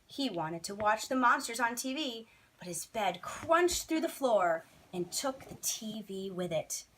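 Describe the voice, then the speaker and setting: A woman reads a bedtime story to children in an expressive teacher's style. Her voice is bright, with lively rises and falls. She phrases dramatically and puts emphasis on key words. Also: a little high, unhurried, slight background noise, rough recording.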